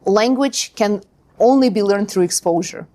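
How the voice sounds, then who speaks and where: A woman's voice: mid-range voice, moderate pace, dark tone. A woman from Ukraine, a language school founder, talking casually as a podcast guest, full of fillers and tag questions. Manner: chatty, many fillers and "right?". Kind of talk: podcast interview.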